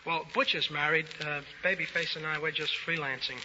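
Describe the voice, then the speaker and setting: A jaunty man with a slightly high voice banters as a contestant on 1930s radio. His talk is slangy, and the old recording is dull and clearly noisy. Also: fairly even intonation, a little fast. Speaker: amateur musician contestant.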